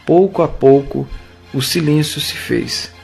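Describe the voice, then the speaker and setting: A man with a Brazilian accent giving solemn, measured dramatic narration for an audio novel. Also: on the high side, vocal fry, music in the background.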